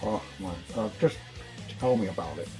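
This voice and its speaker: A man's voice: lowish voice, moderate pace, darkish tone, natural voice. A man playing a wrestling promoter's cautious business partner in an audio play, hesitant and pleading as he reasons. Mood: weary. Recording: faint music behind the voice.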